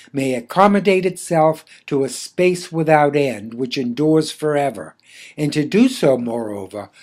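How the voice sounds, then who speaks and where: A man reading his own philosophical essay aloud in a measured, deliberate, formally literary way. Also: medium pitch, a little mellow.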